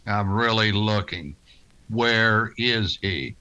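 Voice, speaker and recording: An actor playing a stern, weary frontier marshal in a western radio drama, terse, plain-spoken and grave. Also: male voice, fairly low pitch, slow, bright, forceful tone, clean tone, highs cut off. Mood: grim, stern.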